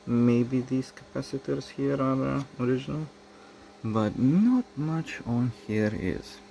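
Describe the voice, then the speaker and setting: A man giving casual, low-key narration as he shows a repair, speaking haltingly. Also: gravelly, warm tone, a little hiss or hum, rough recording. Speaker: hobbyist radio restorer.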